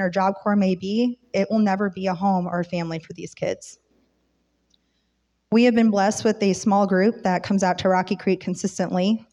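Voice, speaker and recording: A woman volunteer sharing a prepared, earnest testimony with a church congregation, her voice a little rough from being under the weather. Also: mid-range voice, darkish tone. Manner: sincere, earnest. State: slightly rough voice from oncoming illness. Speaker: church member and volunteer speaker.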